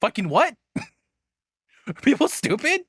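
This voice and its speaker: A man's voice: extremely high pitch, sing-song, slow, a bit bright. A man giving casual, unscripted reaction commentary as a video host, blunt and profane, exclaiming when worked up.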